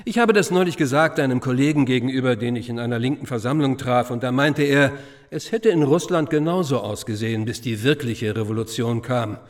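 An actor playing a cynical, gossipy schoolteacher in a radio drama, chatting with ironic, conspiratorial relish. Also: male voice, mid-range voice, a little fast, natural voice. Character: gossipy, sardonic schoolteacher, cynical confirmed bachelor. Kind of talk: conversational radio drama acting.

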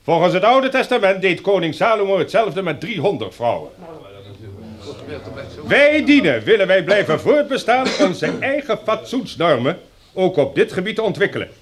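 A stern, self-important male leader in a radio play. His voice sits a little high and swings widely as he lays down the rules. The delivery is formal, measured and emphatic, like a public address. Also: sing-song. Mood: cool, unbending. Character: stern, pompous male leader lecturing survivors on new rules. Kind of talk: formal speech in Dutch radio drama.

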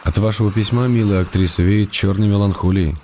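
An actor playing a fond, gently wry writer reading his letters aloud in an understated, dry radio drama manner. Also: lowish voice, fairly even intonation, fairly quick, slight background noise, close to an everyday voice. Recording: music behind the voice.

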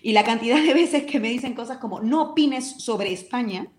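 A woman's voice: a little high, normal speed, darkish tone. A woman from Peru with a Peruvian accent, a guest in a radio interview who speaks her opinions in a vehement, passionate way. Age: adult with a decade living abroad. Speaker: interview guest, talk show host and commentator. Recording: roomy echo.

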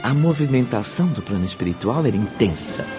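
A man's voice: medium pitch, big pitch swings, brisk, over music. A man narrating a radio drama from a literary script in formal language.

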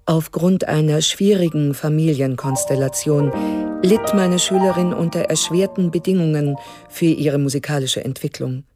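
Woman playing a formal music teacher reading a letter in a radio play, measured, music clearly behind. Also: low-pitched, lightly acted. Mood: formal, sober. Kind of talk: letter read aloud in radio drama. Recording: prominent music under the voice.

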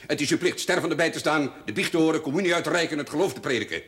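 An actor playing a haughty older notary in a television period drama, formal and condescending, on an old soundtrack. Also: male voice, fairly high pitch, expressive, very fast, a bit bright. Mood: indignant. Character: haughty, indignant older male notary, smoothly manipulative.

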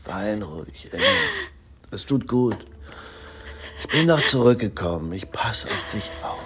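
An actor playing a stern, protective husband in a radio drama, creaky-voiced, measured and soothing yet controlling. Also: male voice, lowish voice, lively intonation, noisy background. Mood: soothing, solemn. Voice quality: gravelly, creaky. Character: stern, protective husband, dutiful old-comrade type. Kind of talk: German radio drama acting. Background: music.

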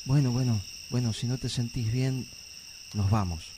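An actor with an Argentine accent playing a gentle, reassuring teenage boy in love in a radio drama, speaking softly and coaxingly. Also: medium pitch, normal speed, very noisy, lightly acted. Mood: tender. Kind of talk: amateur radio drama acting. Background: music.

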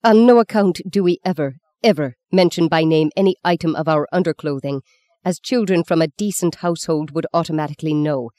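A narrator voicing a stern, cold elderly nun with a faded Irish accent who barks out slow, clipped reproaches. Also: female voice, deep voice, mellow, dry recording, lightly acted. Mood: harsh. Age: 70s. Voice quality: dry, clipped. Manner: barking, slow, deliberate.

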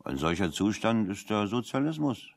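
Deep-voiced, sly working man. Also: very animated, moderate pace, creaky. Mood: mock-solemn. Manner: wry, mock-formal. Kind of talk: 1970 German radio play dialogue.

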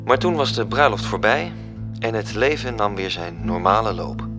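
Narrator role for a man: measured, wry radio drama storyteller, lowish register, music behind. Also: lively intonation, natural voice. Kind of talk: scripted literary narration in a Dutch radio drama.

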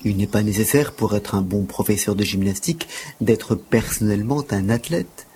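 A man's voice: on the low side, moderate pace, darkish tone, husky. A man playing a humble, deferential gymnastics teacher in an audio drama, speaking in earnest, wordy, formal phrases.

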